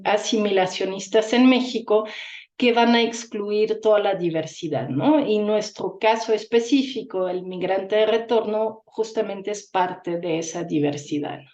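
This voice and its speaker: A woman's voice: mid-range voice, darkish tone, roomy sound. A woman academic presenting a conference paper fluently, in a lecturing tone with rhetorical tags.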